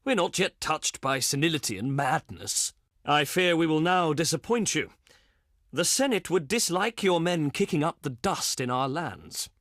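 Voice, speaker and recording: An actor playing a haughty, contemptuous ancient Roman diplomat in a strategy game, pompous and sneering, with formal oratorical delivery. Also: male voice, a little high, a bit bright, dry recording, clean studio sound, full character voice. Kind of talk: theatrical scripted game voice acting.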